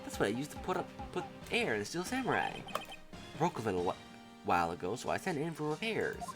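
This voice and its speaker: A woman voicing a goofy, boastful young male hot dog seller from a video game, in a slangy, excitable drawl. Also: extremely low pitch, a little fast, music in the background. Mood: boastful, easygoing.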